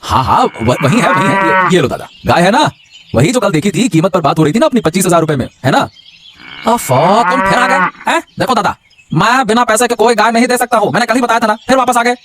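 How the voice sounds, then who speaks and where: Man playing a grumbling cartoon villager, high pitch, swooping intonation, repetitive exclamations, music behind. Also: animated, a little fast, a bit bright. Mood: eager, then annoyed. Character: excitable, grumbling village man in animated folk tale. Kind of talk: cartoon moral-story voice acting.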